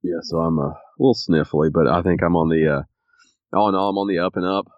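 Very deep, sniffly man chatting. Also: fairly even intonation, fairly quick, no room sound, lo-fi sound. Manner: rambling, many ums and uhs. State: sniffly and a bit raspy from allergies. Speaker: podcast co-host. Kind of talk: casual chat.

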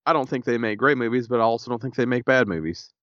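A man, co-hosting a podcast in casual chat, a little stuffy and raspy, laid-back with dry humor. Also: mid-range voice, quick pace, a bit bright, dry recording, lo-fi sound. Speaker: podcast co-host.

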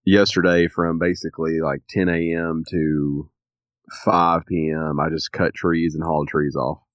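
A man co-hosting a podcast, sniffly and a little raspy from allergies, rambling with many ums. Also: very deep voice, little pitch movement, a little slow, dry recording, lo-fi sound. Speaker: podcast co-host. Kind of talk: casual chat.

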